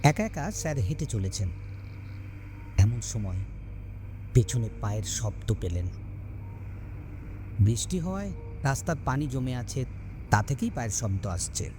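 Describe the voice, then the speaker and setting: A man narrating a scripted horror story as host, dramatic, with deliberate pauses between phrases. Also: medium pitch, sing-song, dark tone, a little hiss or hum. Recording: background music under the voice. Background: scary music.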